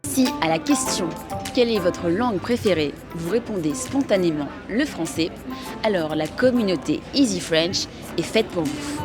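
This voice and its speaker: A woman host interviewing passers-by in the street and reading a promo, asking measured, clear questions. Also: on the low side, lively intonation, music in the background. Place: city street outdoors.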